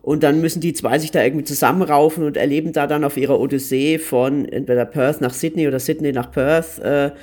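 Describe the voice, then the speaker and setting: A man's voice: a little high, fairly even intonation, fast talker, hardly any pauses, darkish tone. A man co-hosting a podcast, giving a casual review and often hesitating and repeating words as he searches for phrasing. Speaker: podcast co-host recommending series.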